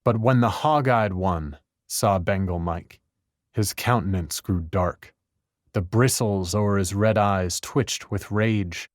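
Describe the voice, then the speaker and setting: Male storyteller for poetry, slightly deep, slow, close and dry. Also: no echo. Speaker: poetry reader in a group recording. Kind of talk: dramatic narrative verse reading.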